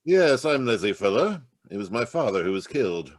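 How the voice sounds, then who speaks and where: A man acting as a formal, guarded gentleman of a wealthy household in an old-time radio mystery. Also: medium pitch, very animated, normal speed, a little hiss or hum, close to an everyday voice. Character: formal, guarded adult son of murdered millionaire. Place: remote video call performance.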